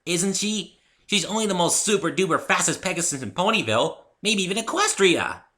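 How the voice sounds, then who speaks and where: Man putting on a high, bubbly girl pony. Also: brisk. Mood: excited, gushing. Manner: bubbly, excitable, gushing. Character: hyperactive, cheerful young female cartoon pony.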